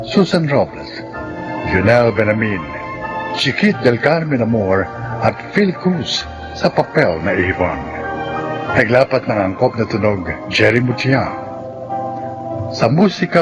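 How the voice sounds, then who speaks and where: Male Filipino drama announcer: theatrical, formal, deliberate pacing, animated pitch, music underneath. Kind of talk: scripted dramatic narration, reading credits.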